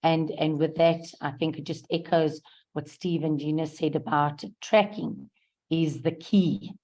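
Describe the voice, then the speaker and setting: A hoarse woman speaks on a video call in a low, mellow voice. She talks earnestly but haltingly and stops often on ums. It is an unscripted testimonial. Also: steady tone. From South Africa. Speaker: guest speaker sharing event feedback.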